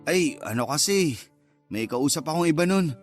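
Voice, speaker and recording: A man playing a smooth, bantering online romancer in a radio drama, speaking in a casual, coy, teasing way. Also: on the high side, expressive, normal speed, soft background music, close to an everyday voice. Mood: smooth flirting. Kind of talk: Tagalog radio drama dialogue.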